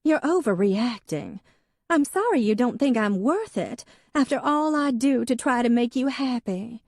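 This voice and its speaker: A woman's voice: lively intonation, unhurried, darkish tone, dry recording, clean studio sound. A woman voicing a dramatic, defensive young wife who turns tearful and pleading. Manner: shrill, pleading, emotional.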